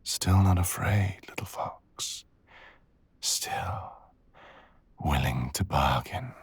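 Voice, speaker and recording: Casting: male actor for menacing dragon villain in intimate ASMR roleplay, extremely low husky voice, very slow seductive delivery. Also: expressive, no echo, full character voice. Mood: amused, seductive. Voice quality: gravelly, husky, breathy growl. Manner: slow, deliberate, teasing drawl with murmurs.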